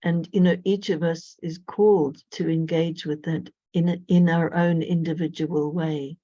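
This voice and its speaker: A woman speaking as an astrologer guest in an interview, thoughtful and measured. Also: deep voice, fairly even intonation, mellow, no echo. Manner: thoughtful, measured, with frequent "you know". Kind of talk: reflective conversational interview. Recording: dull lo-fi audio.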